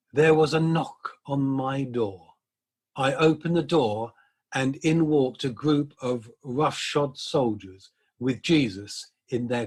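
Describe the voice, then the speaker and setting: A man reading a dramatic monologue as a proud, scheming high priest, self-important and matter-of-fact, with a husky voice. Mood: impatient, self-justifying. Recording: video call.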